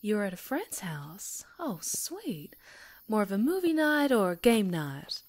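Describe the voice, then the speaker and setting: A woman's voice: mid-range voice, sing-song, a little slow. A woman playing a sweet, jittery, chatty young woman in an ASMR roleplay, with a girlish manner. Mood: friendly, chatty.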